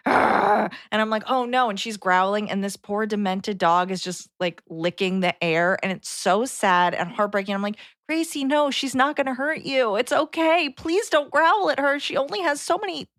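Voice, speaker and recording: A woman's voice: a little high, moderate pace, few pauses, fairly bright tone, no room sound, studio quality. A woman co-hosting a casual podcast, telling anecdotes and acting out bits of dialogue as she goes. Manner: animatedly quotes dialogue in anecdotes. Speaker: podcast co-host. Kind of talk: casual chat, anecdotal storytelling.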